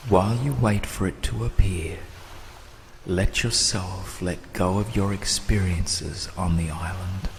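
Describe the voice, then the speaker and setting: A man narrating a scripted guided meditation in a soothing, measured manner, with long pauses and soft, breathy phrase endings. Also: deep voice, fairly even intonation, a little hiss or hum.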